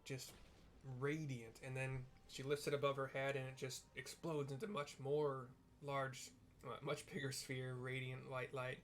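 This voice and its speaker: A man's voice: mid-range voice, little pitch movement, a little slow, a bit bright. A young man casually narrating a game cutscene in a rambling way, full of "like" and "kinda.". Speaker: let's play narrator.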